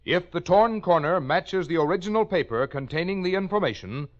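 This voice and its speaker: Higher-voiced man announcing on muffled old-time radio. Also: animated. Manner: formal, deliberate enunciation. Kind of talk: 1950s radio announcing, scripted narration. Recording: muffled 1950 radio broadcast, faint music.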